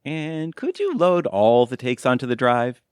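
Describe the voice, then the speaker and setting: A goofy middle-aged husband, played by a man, talks with hugely swooping, animated pitch. He is guileless and eager in an audio comedy, recorded close and dry. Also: a little slow, clear, resonant tone, dry recording. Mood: eager, clueless. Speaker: voice actor playing the lead. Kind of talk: community audio theatre comedy acting.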